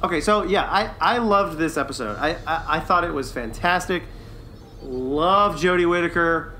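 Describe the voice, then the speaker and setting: Male podcast co-host, enthusiastic and conversational, bright forward tone, slightly higher pitch. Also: slight background noise. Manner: enthusiastic, quick to chuckle. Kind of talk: casual, enthusiastic fan review chat.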